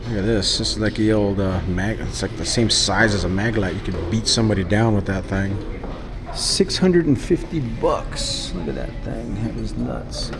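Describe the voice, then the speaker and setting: A man's voice: on the low side, moderate pace, vocal fry, noisy background. A man casually talking to himself while browsing products in a shop, exclaiming now and then, recorded on a head-mounted camera. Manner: musing to himself, exclaiming at items. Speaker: vlogger touring a shop. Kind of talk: casual handheld product walkthrough. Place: indoor retail store. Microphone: head-mounted action camera.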